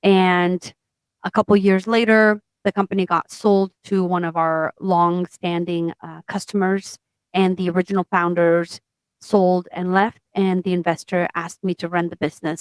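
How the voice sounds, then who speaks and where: A woman in her forties, a tech founder from Portugal, telling her story in an interview in a steady, matter-of-fact way. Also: on the low side, smooth, no echo, poor audio. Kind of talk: interview conversation.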